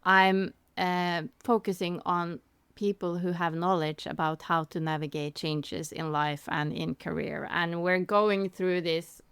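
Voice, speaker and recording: A woman hosting a podcast interview who asks her guest questions in a friendly, halting way with fillers. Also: fairly low pitch, fairly even intonation, fairly bright tone, smooth, no room sound. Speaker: podcast host.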